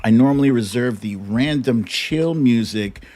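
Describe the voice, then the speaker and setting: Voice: mid-range voice, unhurried, mellow. An American livestream host chatting casually, greeting viewers in a playful, slangy, informal way. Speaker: host of a live online show. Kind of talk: casual livestream chat with shout-outs to viewers.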